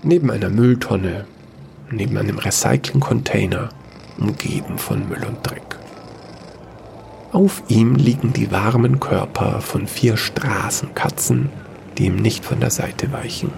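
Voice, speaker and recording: A man reading scripted narration for a sci-fi fairy-tale audio drama in a measured, gravelly storytelling way. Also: fairly low pitch, darkish tone, a little hiss or hum.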